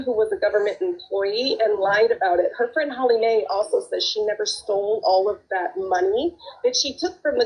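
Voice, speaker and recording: A woman's voice: on the high side, slightly rough, rough recording. A woman in a profane, sarcastic livestream rant. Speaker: livestreamer in a drama dispute.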